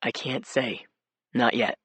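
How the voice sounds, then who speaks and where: A woman reader voicing a weary, secretive middle-aged husband who speaks low and apologetically. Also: slow, a bit bright, slightly rough, no echo. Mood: subdued.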